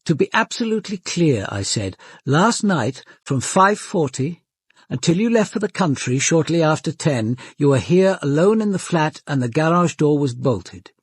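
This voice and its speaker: A senior British detective in a radio drama, composed and precise. His voice sits a little high for a man, slightly rough-edged and closely, dryly recorded. He narrates and probes with formal, measured care. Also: very animated, no echo. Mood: measured, observant. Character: composed, probing male senior police detective narrating a murder inquiry.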